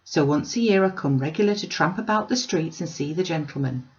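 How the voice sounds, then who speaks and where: A woman's voice: low voice, expressive, brisk. A woman reader acts out an old woman from the country in a classic storybook. She is chatty, curious and earnest, with polite rustic phrasing. The recording is a little muffled.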